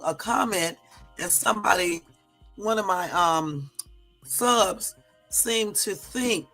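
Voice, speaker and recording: A hoarse woman from the US West Coast hosting a live stream, chatting casually with viewers and sprinkling in lots of fillers. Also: medium pitch, a bit bright, low-quality recording. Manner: chatty, addresses viewers as y'all, many fillers. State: hoarse from a cold. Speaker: livestream host.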